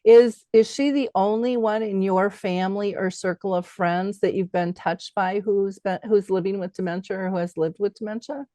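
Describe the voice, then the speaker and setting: A woman's voice: medium pitch, fairly even intonation, normal speed, hardly any pauses, mellow, no room sound. A middle-aged woman with a chatty, affirming manner, hosting a conversational interview. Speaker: interview show host.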